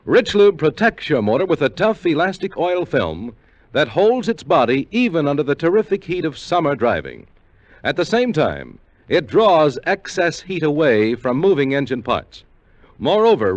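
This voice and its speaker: A man's voice: on the high side, no room sound. An American man working as a 1950s radio announcer, reading scripted promotion and credits. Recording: muffled vintage 1950 radio recording.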